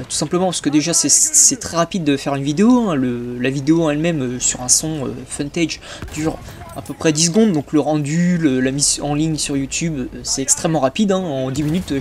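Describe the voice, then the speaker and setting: Hesitant young man, slightly high voice. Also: darkish tone, a little hiss or hum. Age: young adult. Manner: hesitant, many filler sounds. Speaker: YouTube gaming channel host. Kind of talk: casual channel update voice-over.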